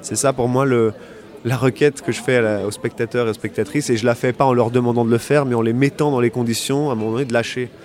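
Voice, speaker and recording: A man, an actor and director who grew up bilingual in French and Italian, talking reflectively in an interview with many pauses and fillers. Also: mid-range voice, fairly even intonation, darkish tone.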